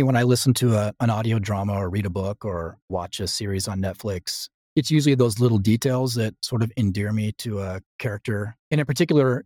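Low-voiced man, thoughtful interview guest. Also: a little mellow, no echo, clean studio sound. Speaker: interview guest, audio drama creator. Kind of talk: reflective interview chat.

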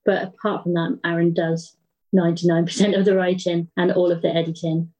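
A woman's voice: fairly low pitch, little pitch movement, brisk, darkish tone, muffled recording. A woman talking casually as an interview guest. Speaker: podcast actress and guest.

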